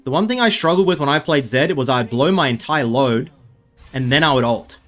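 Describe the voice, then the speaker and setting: A man's voice: mid-range voice, expressive, a little fast, a bit bright, soft background music. Chatty Australian man, rambling commentary. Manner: rambling, self-mocking, chatty asides. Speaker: gaming video creator. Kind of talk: casual gameplay commentary.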